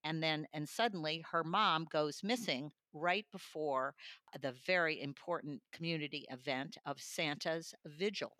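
A woman's voice: deep voice, unhurried, sharp tone, dry recording. A woman giving a conversational book review as an interview guest. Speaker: audiobook reviewer, studio guest.

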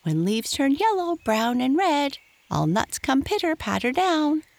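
Storybook chipmunk, female performer: small, male, high-pitched, chirpy, sly and teasing, with a very animated sing-song lilt. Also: measured pace, gravelly, no echo, exaggerated voice. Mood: cheerful, boastful.

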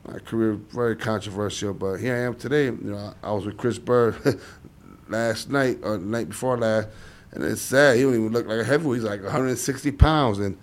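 A man's voice: lowish voice, brisk. A man in his forties from Chicago, a guest in a casual interview, telling stories in a loose rambling way full of "you know". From Chicago, USA. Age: 40s. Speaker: interview guest, former boxer.

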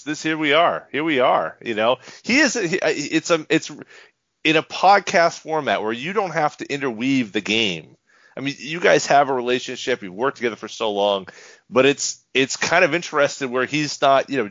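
Animated male co-host, muffled lo-fi sound. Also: mid-range voice, dry recording. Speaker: podcast co-host. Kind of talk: casual sports podcast chat. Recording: dry, dull lo-fi microphone sound.

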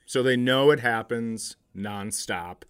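A man's voice: medium pitch, slow pace, fairly bright tone, smooth. A man hosting a comedy podcast, bantering casually with a teasing, sarcastic and profane manner.

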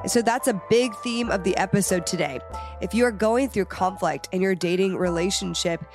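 A woman's voice: mid-range voice, normal speed, over music. A young woman hosting a dating podcast, upbeat and encouraging, with a little nasal from a head cold. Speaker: podcast host. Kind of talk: casual podcast chat.

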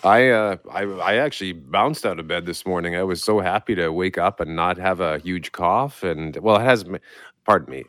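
Radio guy, really deep voice, kinda hushed, just getting over a cold. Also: normal speed, no room sound, clean studio sound. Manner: quiet, low-key, speaking softly. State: recovering from a mild cold. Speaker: radio show host. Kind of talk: morning radio talk. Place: his apartment, working from home.

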